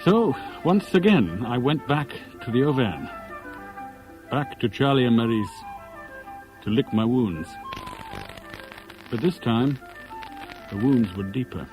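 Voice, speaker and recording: A man's voice: medium pitch, expressive, slow, long pauses, over music, poor audio. An actor playing a heartbroken, self-mocking British man in his forties in a 1970s radio drama, articulate and theatrical. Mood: rueful. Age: 40s. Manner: articulate, wry, theatrical, rhetorical. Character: heartbroken, self-mocking middle-aged Englishman, a jilted lover. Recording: faint hiss on old radio broadcast.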